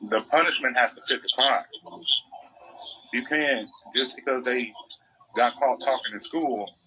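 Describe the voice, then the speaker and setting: A man's voice: medium pitch, a little slow, bright, forceful tone, slight background noise, poor audio. An American man chatting casually as a podcast co-host, making opinionated points emphatically and repeating phrases.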